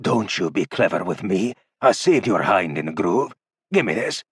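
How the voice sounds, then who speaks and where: A man's voice: low voice, lively intonation, normal speed, slightly rough, no echo, clean studio sound, exaggerated voice. A man voicing a huge, stern male half-dwarf guard who speaks bluntly and tersely. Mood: gruff.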